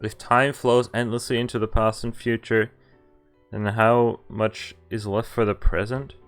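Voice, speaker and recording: A man's voice: fairly low pitch, level tone, measured pace. Male streamer reading aloud over game music. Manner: reacts with laughs and mild swearing between read lines. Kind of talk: reading visual novel text aloud with casual asides. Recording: music behind the voice.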